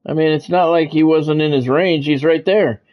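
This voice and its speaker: A man's voice: on the high side, steady tone, moderate pace. A man streaming a football video game, calling it live in clipped, practiced announcer phrases mixed with casual, offhand remarks. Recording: dull lo-fi sound, faint background noise.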